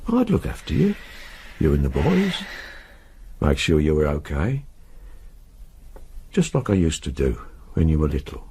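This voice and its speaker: Protective older male family friend,, very deep, swinging intonation, earnest radio drama delivery. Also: huge pitch swings, unhurried, long pauses, dark tone, a little hiss or hum, full character voice. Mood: tender coaxing. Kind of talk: naturalistic radio drama acting.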